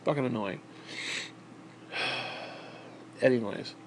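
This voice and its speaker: A man's voice: medium pitch, very slow, a little hiss or hum, low-quality recording. A man with a stuffed-up, nasal voice, talking in a bitter, profane, rambling monologue into a tablet. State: stuffed-up nose. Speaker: vlogger.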